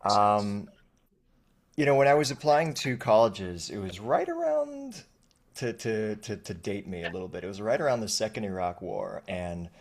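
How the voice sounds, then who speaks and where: A man in his forties who grew up in Thailand tells his story as a guest. He talks in a low voice, reflective and halting, with frequent fillers. He is heard over a video call. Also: very animated. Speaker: actor guest. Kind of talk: conversational interview.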